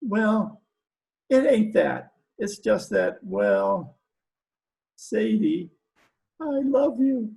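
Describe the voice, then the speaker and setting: A man's voice: high voice, very animated, drawn-out words, creaky, pauses often, a little mellow, exaggerated voice, low-quality recording. A man playing a dim, lovestruck, trigger-happy gangster with slangy, uneducated talk in a 1940s-style radio comedy. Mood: lovesick, pleading. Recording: home video-call recording.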